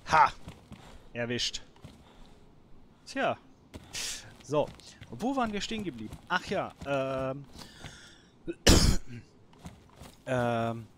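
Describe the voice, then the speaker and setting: A man commentates a video game at an unhurried, slow pace. His mid-pitched voice is colloquial and casual, as if chatting to himself and the characters. Clear noise and clicks sit behind the voice. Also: animated. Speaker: gamer and host of a let's play series. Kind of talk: casual let's play commentary. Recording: noisy home recording with clicks.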